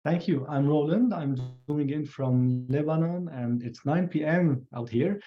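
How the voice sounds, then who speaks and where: A man doing IT support, introducing himself slowly and hesitantly over a video call. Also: fairly high pitch. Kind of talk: brief introduction. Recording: dull lo-fi video call audio.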